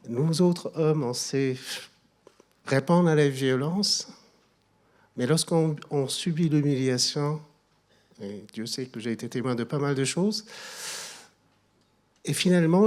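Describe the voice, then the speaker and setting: A man's voice: fairly high pitch, unhurried, long pauses, a little mellow. A middle-aged man from Afghanistan with an Afghan accent, speaking as a guest at a public event. He is earnest and hesitant and often repeats words as he searches for them. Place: hall at a public evening event.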